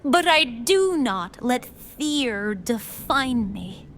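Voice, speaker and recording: Woman as a high-voiced, strained young mage. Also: lively intonation, extremely slow, fairly bright tone, a little hiss or hum, full character voice. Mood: pained defiance. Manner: strained, forcing words out. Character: young female mage, frightened yet defiant. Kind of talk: fantasy audio drama acting.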